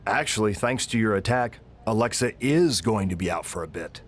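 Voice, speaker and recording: A man playing a pragmatic wrestling official in a game cutscene, speaking in a measured, matter-of-fact way. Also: lowish voice, lively intonation, a little hiss or hum, natural voice. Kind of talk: scripted game cutscene dialogue.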